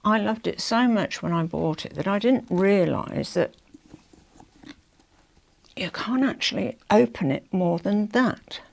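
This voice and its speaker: An older woman with a croaky, hoarse throat, chatting casually to camera as a fashion vlogger, rambling with confiding asides. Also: medium pitch, lively intonation, a little slow. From England.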